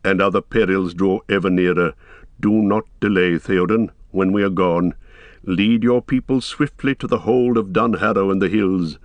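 A man playing a wise old wizard in a fantasy storybook, speaking with measured, solemn and stern authority. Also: sits low, no echo, natural voice. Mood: urgent, grave.

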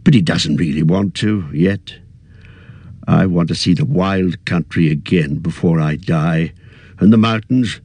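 A man reading aloud, voicing a fussy, aging male hobbit in a high, quavering, petulant voice that grows possessive. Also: a little slow, darkish tone, breathy edge, no echo, heavily acted. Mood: fond.

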